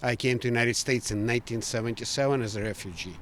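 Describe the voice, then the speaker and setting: A man's voice: medium pitch, fast, a little hiss or hum. An older man from Ukraine with a Ukrainian accent, giving emphatic, earnest, opinionated answers as an interviewee. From Ukraine, former Soviet Union.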